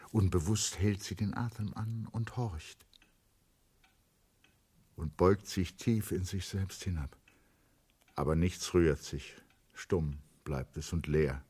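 A literary narrator with a low, husky voice. He tells the story with dramatic weight, swelling from hushed passages to urgent, emphatic ones in a radio play. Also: full character voice. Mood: hushed, grave. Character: literary narrator of a young postal clerk's story.

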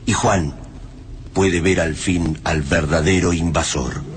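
An Argentine actor narrating a dark science-fiction radio drama in a solemn, deliberate, dramatic manner. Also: male voice, sits low, fairly even intonation. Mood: solemn, ominous. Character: male storyteller of a dark science-fiction tale. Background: music.

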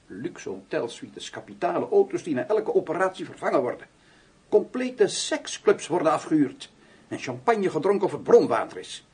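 A scornful, swooping, husky official. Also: male voice, a little high, very animated, moderate pace, darkish tone, heavily acted. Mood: outraged sarcasm. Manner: sarcastic, emphatic, indignant. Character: scornful male official outraged by lavish undercover expenses. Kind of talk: Dutch radio play acting.

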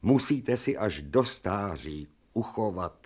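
An actor playing a stern older professor and surgeon in a radio play, lecturing and commanding. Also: male voice, lowish voice, big pitch swings, a little mellow, slightly rough. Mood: grave.

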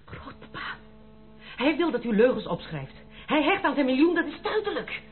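Proud, indignant young noblewoman, high-voiced. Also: very animated, normal speed, faint music behind. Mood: cold, defiant. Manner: haughty, dramatic, formal. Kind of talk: Dutch radio play acting. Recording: muffled narrow-band radio play recording.

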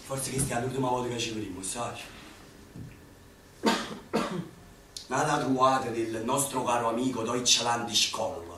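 An actor playing an earnest young Neapolitan man in a radio drama, gentle and self-mocking. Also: medium pitch, moderate pace, natural voice. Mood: hesitant. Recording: echoey, distant, noisy.

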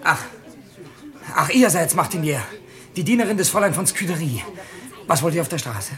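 An actor playing a zealous, suspicious night-patrol police lieutenant in a 1950s German radio drama, clipped and menacing. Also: male voice, fairly high pitch, expressive, moderate pace, natural voice. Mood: suspicious, grim.

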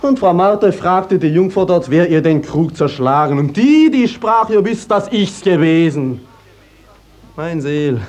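Slow-spoken, high-voiced hot-headed peasant lad. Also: male voice, expressive, darkish tone. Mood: indignant, blunt. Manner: emphatic, vivid storytelling. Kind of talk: 1950s German classical radio play acting.

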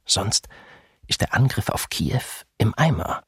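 Weary soldier, very husky, gravelly, low, brooding, radio docudrama diary. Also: male voice, very animated, unhurried, no room sound, clean studio sound, full character voice. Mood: brooding, grim. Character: weary German soldier in his early thirties, homesick diarist.

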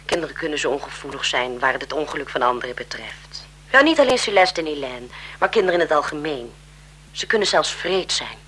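Bright, prim, emphatic governess. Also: female voice, low voice, big pitch swings, brisk. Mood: earnest, reproving. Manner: emphatic, earnest, respectful. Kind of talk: 1970s Dutch radio play acting. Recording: 1970s radio drama with faint noise.

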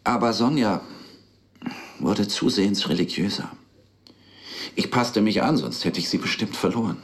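A man's voice: fairly low pitch, normal speed, natural voice. An actor playing a weary, melancholic middle-aged dentist in a radio play, confiding hesitantly and with resignation in his rabbi. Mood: wistful, confiding. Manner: hesitant, brooding, resigned, with sighs. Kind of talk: naturalistic radio play dialogue.

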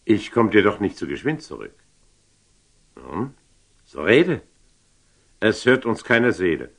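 An actor playing a proud, curious sultan in a classical German radio drama, declaiming verse in an imperious, teasing way. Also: male voice, lowish voice, very animated, slow pace, creaky, fairly bright tone, heavily acted. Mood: expectant.

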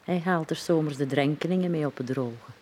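A pensive village man, played by an actor narrating in a Flemish radio drama. His tone is dark and mellow. He speaks solemnly as part of a chorus. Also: on the high side, fairly quick, a little hiss or hum, lightly acted.

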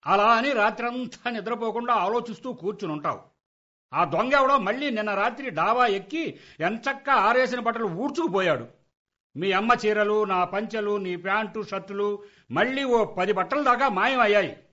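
A man's voice: high voice, moderate pace, sharp tone. A man playing an exasperated, sarcastic middle-aged father in a Telugu comedy radio play, with a wry, scolding, theatrical delivery. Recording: dull lo-fi radio play recording.

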